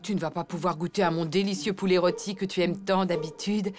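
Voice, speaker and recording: A woman voicing a proud, motherly elephant in a children's cartoon, with expressive, theatrical delivery. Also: lowish voice, fairly quick, lightly acted. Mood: sly, coaxing. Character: cheerful, proud elephant mother, keen amateur cook. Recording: loud music under the voice.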